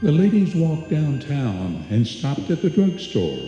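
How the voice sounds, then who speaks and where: A man's voice: a little high, sing-song, unhurried, dark tone, highs cut off. A man narrating an old time radio suspense tale as a solemn, dramatic storyteller. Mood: solemn, ominous. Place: live stage performance in a hall. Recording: echoey live hall, music behind.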